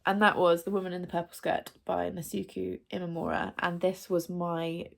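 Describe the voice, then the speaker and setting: Creaky-voiced woman with a cold. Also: on the low side, little pitch movement, moderate pace. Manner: chatty, rambling with many fillers and self-corrections. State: has a cold, stuffy and coughing. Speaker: book vlogger. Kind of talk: casual vlog chat to camera.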